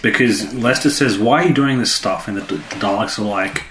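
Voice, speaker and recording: Lowish-voiced man in an echoey room. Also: brisk, fairly bright tone. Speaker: podcast co-host. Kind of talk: casual chat, commenting on TV episodes. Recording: echoey room, faint background noise.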